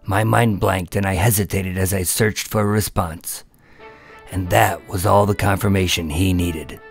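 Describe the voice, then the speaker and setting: A low male voice of an actor plays a nervous undercover agent in a noir radio drama. He tells his story in a wry, deadpan way, with music prominent behind him. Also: measured pace, creaky, slightly rough, full character voice. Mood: tense, wry. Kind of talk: first-person noir radio drama narration and dialogue.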